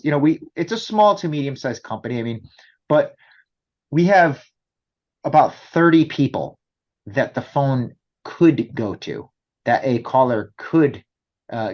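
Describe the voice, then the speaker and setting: A man's voice: mid-range voice, a little mellow, dull audio. A man explaining things conversationally as a business interview guest, often checking in with rhetorical questions. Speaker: company executive guest.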